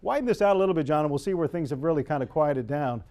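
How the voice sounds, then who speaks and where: American guy doing live storm coverage, mellow kinda higher voice, explains stuff as he goes. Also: expressive, racing. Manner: explanatory, unscripted. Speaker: TV weather forecaster.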